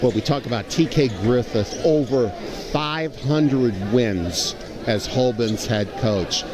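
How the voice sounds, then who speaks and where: A man's voice: mid-range voice, slow. Male basketball color commentator, crowd behind. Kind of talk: live basketball analysis and commentary. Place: high school gymnasium during a game. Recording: clear crowd noise in gym. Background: basketball game crowd.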